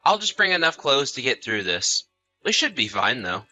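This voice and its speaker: A man's voice: medium pitch, sing-song, normal speed, bright, forceful tone, faint music behind. A man playing an easygoing, sociable husband in chatty, casual audio drama dialogue. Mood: apologetic, reassuring. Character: easygoing husband, sociable, placating, mildly defensive.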